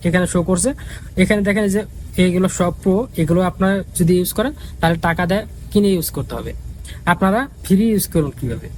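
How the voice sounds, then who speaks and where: A man's voice: high voice, a little mellow, slight background noise, rough recording. A man giving a casual, repetitive step-by-step app tutorial as the host. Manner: repetitive, instructional.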